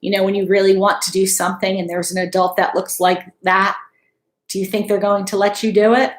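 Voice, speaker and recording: A woman in her thirties reading a picture book aloud to children in an expressive, teacherly way. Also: mid-range voice, a little fast. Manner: expressive, teacherly, checks in with questions. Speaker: school principal reading aloud to pupils. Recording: echoey room.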